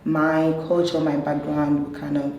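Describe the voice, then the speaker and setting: Low, mellow woman. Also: level tone, normal speed, muffled recording. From Italy, of Ghanaian background. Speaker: panel guest. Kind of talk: casual group chat. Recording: echoey room, distant mic, faint noise.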